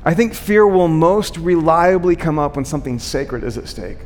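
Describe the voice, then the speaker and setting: A male preacher with a fairly high voice speaks in a large hall with long reverb. He delivers his sermon conversationally, posing questions, repeating key phrases for weight and checking in with his listeners. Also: animated, moderate pace, darkish tone. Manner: rhetorical questions, repeated phrases for emphasis, frequent "right?" check-ins.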